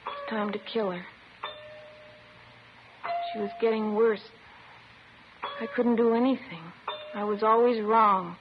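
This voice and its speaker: A woman acts as a childlike, sullen schoolgirl in a vintage radio crime drama. Her middling-pitched voice is petulant and detached, defensive toward police. The old recording is somewhat muffled with light hiss. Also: fairly even intonation, takes it slowly, pauses often, close to an everyday voice. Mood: flat confession.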